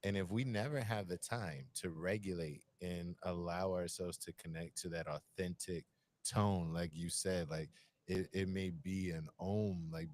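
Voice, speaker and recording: A man with a deep voice, musing at length in a reflective conversation. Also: darkish tone, slight background noise. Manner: musing, searching, repeats phrases.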